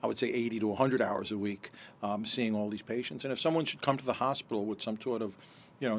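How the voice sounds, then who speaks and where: A man's voice: medium pitch, a little hiss or hum. A man hosting a radio health talk show, asking rambling questions with many uhs. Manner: friendly, rambling questions with fillers. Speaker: radio show host, doctor.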